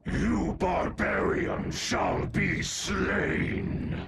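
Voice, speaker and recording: Gravelly, menacing ancient golem. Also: male voice, high voice, huge pitch swings, exaggerated voice. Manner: slow archaic proclamations. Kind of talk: video game cutscene acting.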